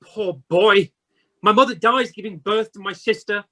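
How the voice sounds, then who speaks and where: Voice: medium pitch, moderate pace, fairly bright tone, close to an everyday voice. An actor playing a grumpy, flustered old male miser in a radio play, blustering and stammering. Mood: moved.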